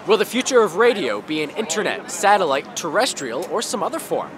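A man's voice: high voice, very animated, brisk, a crowd in the background. A man in his twenties reading scripted documentary narration. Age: 20s. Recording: loud music behind the voice.

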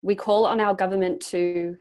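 A young adult woman with a medium-pitched voice leads a group on a video call. She alternates between reading out a prepared pitch and giving encouraging, affirming guidance to the group. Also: flat delivery, normal speed, a little mellow. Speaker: facilitator leading a group practice exercise. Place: small indoor room, on a group video call. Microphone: computer microphone on a video call.

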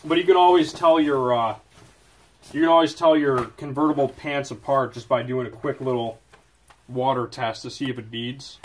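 A man giving a casual, off-the-cuff product review at a desk, often correcting himself as he goes. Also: medium pitch. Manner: chatty, off-the-cuff, with self-corrections. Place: indoors at a desk.

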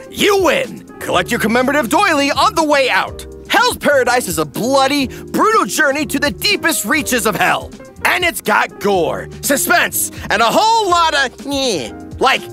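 A man's voice: very high voice, pushed and bright. A man delivering scripted comedic narration in a theatrical, sarcastic manner as a recap host.

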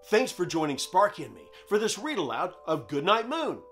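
A man's voice: high voice, sing-song, normal speed, fairly bright tone, soft background music. Middle-aged man, kids' show host. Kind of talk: children's show presenting and bedtime story read-aloud.

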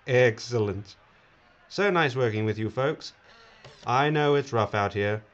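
An actor playing a smarmy, self-satisfied corporate boss with a glib, patronizing manner in an audio drama. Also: male voice, medium pitch, a little slow, lightly acted. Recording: dull, noisy audio.

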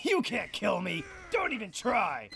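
A man playing a cocky, gleefully violent mercenary in a video game, shouting combat barks. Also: high-pitched, huge pitch swings, measured pace, creaky, exaggerated voice. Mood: gleefully taunting. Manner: loud, shouting taunts and pained cries. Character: cocky, manic male mercenary shooter character, gleefully violent. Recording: game audio with music and gunfire behind. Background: game music, gunshots.

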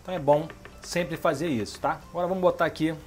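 A man's voice: fairly high pitch, fairly even intonation, fast, loud music behind the voice. A middle-aged man hosting a home cooking show, chatting casually as he demonstrates a recipe in his kitchen and checking in with the viewer. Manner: chatty, keeps asking "beleza?" and "tá?".

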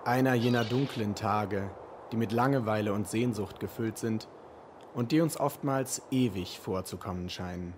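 A man narrating a literary audio drama from a script, in a measured, solemn manner. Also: lowish voice, background noise. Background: music with guitar.

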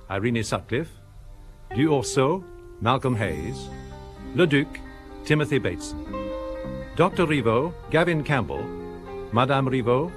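A male radio announcer reads credits formally and in measured phrases. His mid-pitched voice has a lively rise and fall, with music clearly behind it.

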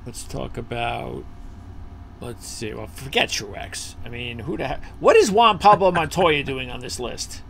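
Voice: medium pitch, unhurried, creaky, fairly bright tone, a little hiss or hum. A host leading a casual racing betting preview over a video call, thinking aloud with fillers while scanning a list.

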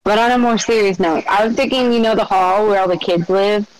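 A woman playing in a tabletop game session, chatty and planning out loud. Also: mid-range voice, steady tone, fairly quick, rough recording. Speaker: player.

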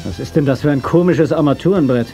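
A man's voice: a little high, fast, warm tone, soft background music, lightly acted. An actor playing a cocky, wisecracking young male hero, a former policeman, in an informal manner in a radio play. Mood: incredulous, joking.

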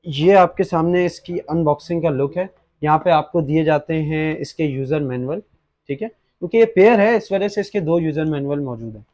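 A young man with a Pakistani accent presents products for a gadget shop. His voice sits a little higher than average for a man. He explains each feature as he goes, dropping English words into his speech. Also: darkish tone. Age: young adult. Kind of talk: unboxing and product demonstration. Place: small indoor gadget shop studio at a desk.

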